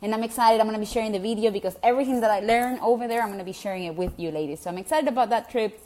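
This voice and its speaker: Chatty Venezuelan woman, high voice. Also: very fast, warm tone. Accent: Venezuelan Spanish. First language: Spanish. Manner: chatty and encouraging, frequent ums and restarts. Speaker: gut health coach. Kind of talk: casual direct-to-camera talk to an online group.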